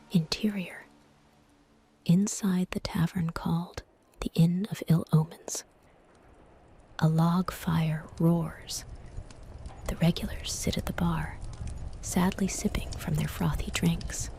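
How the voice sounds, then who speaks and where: A woman's voice: on the low side, fairly even intonation, slow, pauses often, mellow. A woman acting a whispering, ominous storyteller. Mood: hushed, bleak. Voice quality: breathy whisper. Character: hushed, ominous storyteller narrator of a bleak tale. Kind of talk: whispered scripted narration in a radio play.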